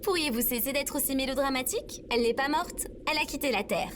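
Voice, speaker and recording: A woman's voice: high voice, quick pace, background noise, lightly acted. A woman playing a sarcastic female AI robot assistant in a comedy audio drama, formal, precise and dryly mocking. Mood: matter-of-fact, dry.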